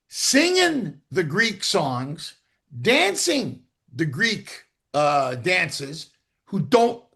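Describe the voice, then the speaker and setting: Lively high-voiced man with gravelly edge. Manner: animated storytelling with quoted voices. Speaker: podcast host. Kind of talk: casual chat.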